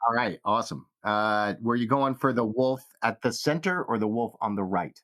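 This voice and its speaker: A man's voice: medium pitch, moderate pace, fairly bright tone. A man running a tabletop fantasy game as game master, narrating the action.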